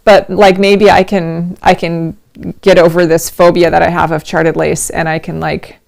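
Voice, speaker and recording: A middle-aged woman, a little hoarse, hosting a casual livestream from home, chatty and rambling with frequent laughs. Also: lowish voice, flat delivery, moderate pace, darkish tone. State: slightly hoarse. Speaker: host of a knitting livestream.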